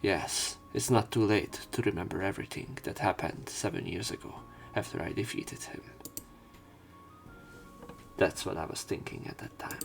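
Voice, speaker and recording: A man with a deep, creaky voice reads visual novel narration aloud. His delivery is measured and serious, as a let's player working through the text. Soft music plays faintly behind him.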